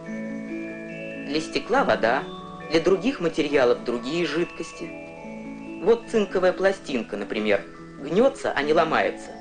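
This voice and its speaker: A narrator reading a scripted educational documentary in a storytelling way for young viewers. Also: medium pitch. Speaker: newsreel narrator. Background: prominent music.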